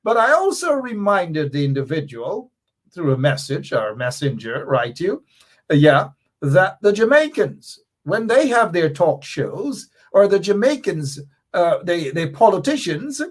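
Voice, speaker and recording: A Guyanese-accented man in middle age runs a political talk show, speaking in a high register for a man. His pitch swings widely as he hammers home his points and says them again for emphasis. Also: measured pace. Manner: animated, emphatic, repeats key points. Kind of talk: political commentary monologue.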